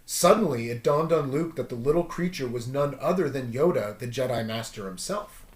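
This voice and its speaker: A man reading a story aloud as narrator, in an animated storytelling style. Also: medium pitch, normal speed, reverberant.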